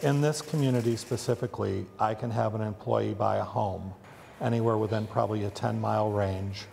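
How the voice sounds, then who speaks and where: A man from the Chicago area, a factory owner, talking deliberately in an interview with frequent ums and uhs. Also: on the low side, vocal fry, darkish tone, big-room sound, slight background noise. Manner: deliberate, with many fillers. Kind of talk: interview soundbite.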